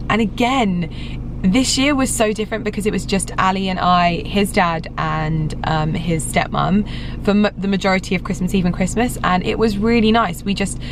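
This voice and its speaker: A young English woman vlogger in a casual, rambling update, her voice slightly croaky after being ill. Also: medium pitch, background noise. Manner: chatty, rambling, frequent restarts and fillers. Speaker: lifestyle vlogger. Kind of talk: casual vlog update to camera.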